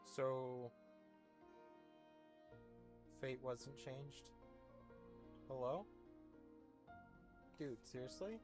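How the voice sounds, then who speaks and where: Young female game streamer: very deep for a woman, incredulous, blunt casual commentary over loud music. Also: drawn-out words.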